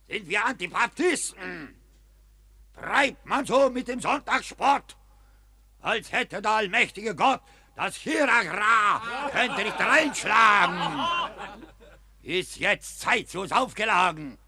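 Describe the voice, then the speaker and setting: A bright, gravelly voice that hammers out each word slowly. a zealous army preacher in a radio play, thundering sermon-style rebukes at idle soldiers. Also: male voice, sits high, no echo, exaggerated voice. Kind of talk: classic radio drama.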